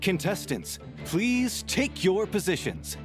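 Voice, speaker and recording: A woman's voice: medium pitch, sing-song, slow pace. A woman voicing a courteous tournament official in a video game, making formal announcements. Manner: formal, proclaiming. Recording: music behind the voice.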